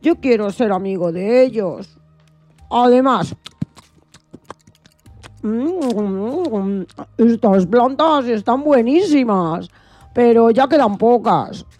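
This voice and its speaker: A high, mellow voice of a woman acting as a misunderstood crocodile who hates eating meat. He talks slowly and plaintively, confiding in the listener as in a children's radio play, over faint music. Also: animated. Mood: sad, weary. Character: unhappy, misunderstood vegan crocodile, male, gentle. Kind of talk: children's radio play, first-person storytelling.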